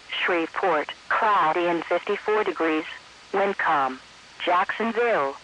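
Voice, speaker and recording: A synthetic man's voice reading an automated weather report in measured, clipped phrases. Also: high voice, pushed and bright, poor audio. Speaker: weather radio announcer. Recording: hissy weather radio broadcast. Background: faint radio hiss.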